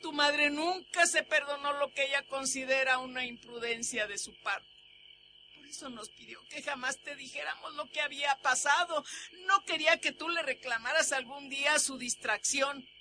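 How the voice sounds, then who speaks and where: Anguished Mexican grandmother, piercingly bright. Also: high-pitched, moderate pace, soft background music. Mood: grave, fearful. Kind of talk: melodramatic horror radio drama acting.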